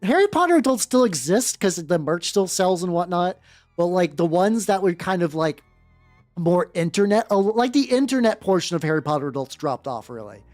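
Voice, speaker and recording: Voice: mid-range voice, big pitch swings, moderate pace, darkish tone, dry recording. Sardonic streamer, very dry close sound. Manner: rambling, sardonic, theatrical. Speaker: livestreamer, VTuber. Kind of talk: casual livestream chat and comic fan-fiction reading. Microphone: close dry streaming mic. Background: faint background music.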